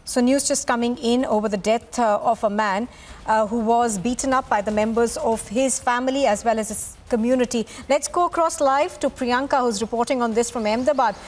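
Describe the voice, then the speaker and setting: A woman news anchor with an Indian accent presenting live news in emphatic, deliberate phrases. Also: fairly high pitch.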